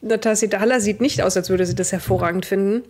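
A woman's voice: mid-range voice, fairly even intonation, fast talker, darkish tone. A young woman narrating and refereeing a tabletop roleplaying game as game master on an online video call.

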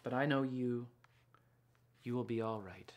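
A man in his thirties reads a children's picture book aloud. His delivery is gentle and unhurried, dropping softer at times, with a mid-pitched voice. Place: small indoor studio lined with books and records.

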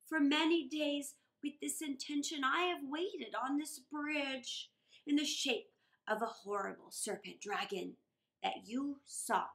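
A man's voice: extremely high pitch, bright, forceful tone, exaggerated voice. A woman voicing an anxious, pleading old dragon king in a storybook reading, earnest and formal.

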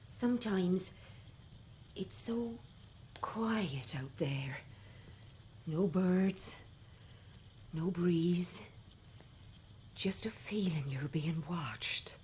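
An actress playing an anxious country wife with a rural English accent in a 1970s radio play. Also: female voice, on the low side, extremely slow, pauses often, a little mellow. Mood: uneasy. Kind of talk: 1970s British radio drama acting. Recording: noisy old radio play recording.

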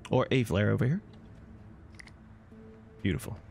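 A man's voice: fairly low pitch, lively intonation, a little slow, creaky, darkish tone, soft background music. A man giving casual, rambling walkthrough commentary on a video game, talking to himself and to viewers. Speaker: gameplay host.

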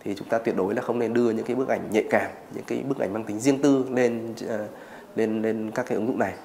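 A man in his thirties explaining as an expert in an interview, pausing on filler words. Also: fairly low pitch, steady tone, creaky, warm tone, slight background noise.

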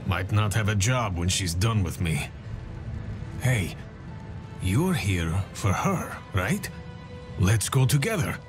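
An actor playing a weary, rough-edged soldier in a video game cutscene, blunt and plainspoken. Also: male voice, fairly low pitch, expressive, slow, long pauses, music in the background. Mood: uneasy.

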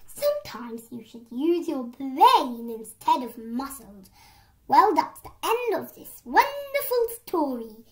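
A young girl tells a children's story. Her voice is very high, and she reads at a slow pace. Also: big pitch swings.

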